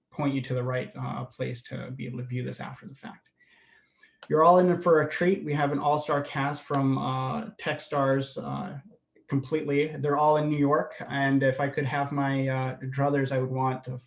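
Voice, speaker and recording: A man's voice: medium pitch, gravelly, echoey room. A man moderating an online panel on a video call, talking hesitantly with many fillers.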